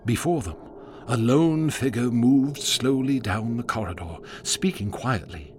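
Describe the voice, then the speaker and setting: A man narrating a horror radio drama in measured, storytelling tones that drop to a hush at eerie moments. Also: medium pitch, a little hiss or hum.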